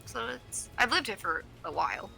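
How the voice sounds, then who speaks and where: A young woman with an Australian accent chats playfully as a stream co-caster, often laughing and teasing. Her voice is very bright and fairly high. Faint music plays underneath. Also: animated, normal speed, creaky. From England. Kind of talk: casual game commentary chat.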